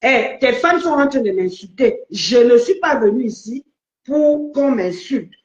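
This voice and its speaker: A woman's voice: on the high side, expressive, a little slow, a little mellow, slight background noise. An older woman speaking as a guest in a heated live-stream debate, indignant and repeating herself insistently, on lo-fi phone audio. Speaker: panel guest.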